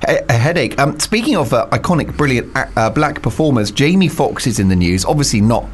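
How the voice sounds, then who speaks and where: A man hosting a topical panel talk show, speaking in an animated, emphatic way. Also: mid-range voice, brisk, husky. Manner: animated, emphatic, rises on key words. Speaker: host.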